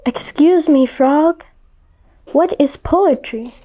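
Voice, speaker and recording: Woman voicing a high-pitched curious little boy. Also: slow, mellow. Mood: curious, polite. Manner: polite, questioning.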